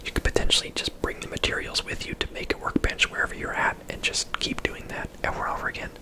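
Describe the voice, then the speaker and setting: A man giving casual, unhurried ASMR game commentary in a conversational whisper as he plays. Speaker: ASMR gaming host.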